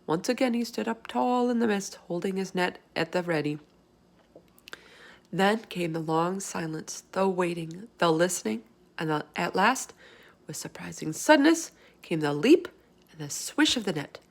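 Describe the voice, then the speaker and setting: A woman reads a children's story aloud. Her voice sits a little on the low side, and she narrates expressively as a storybook reader. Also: animated, normal speed, vocal fry.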